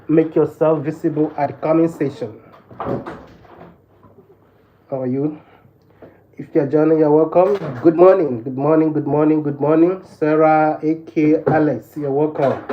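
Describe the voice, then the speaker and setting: A man from Nigeria with a Nigerian accent hosting a casual livestream, addressing viewers and repeating his phrases insistently. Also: on the high side. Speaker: live stream host. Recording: dull lo-fi live stream, background noise.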